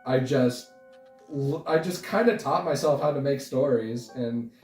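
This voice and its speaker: A young man chatting casually as an interview guest, rambling and halting as he talks. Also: medium pitch, a little mellow. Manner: rambling, halting, chuckles often. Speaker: interview guest, online animation creator. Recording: roomy echo, distant mic, music behind.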